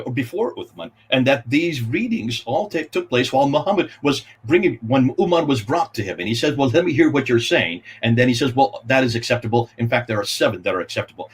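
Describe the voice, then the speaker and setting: Fast, animated husky man. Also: mid-range voice. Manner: forceful, rhetorical questioning. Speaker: guest. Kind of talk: livestream discussion.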